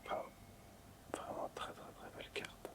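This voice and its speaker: A man whispers breathily as an ASMR host presenting collectibles, lingering and repeating words softly. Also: normal speed.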